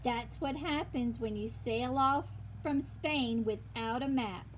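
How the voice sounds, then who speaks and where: A know-it-all little girl from a storybook, read by a woman, explains things in a highish voice at an unhurried pace. She is matter-of-fact, with a little noise behind her. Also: poor audio.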